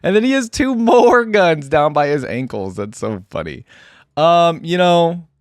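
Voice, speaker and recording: Man, casual reaction host, informal and rambling, animated intonation, very dry close sound. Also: fairly high pitch, unhurried, no room sound. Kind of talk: casual unscripted video game reaction commentary.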